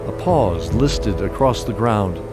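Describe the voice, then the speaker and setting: Guy narrating a fantasy audio drama, deep-ish voice, measured, music behind. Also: expressive. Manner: measured, descriptive storytelling. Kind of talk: scripted narration. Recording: music bed under voice.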